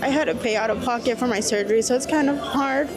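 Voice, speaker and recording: High-pitched woman interviewee over music. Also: fast. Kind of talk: unscripted personal account.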